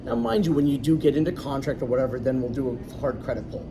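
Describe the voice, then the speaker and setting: A man explains things as a guest in a confident, chatty manner. His voice sits at a middle pitch. He is recorded from a distance, with clear background noise. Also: very animated, quick pace. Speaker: loan originator, guest. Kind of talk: casual interview.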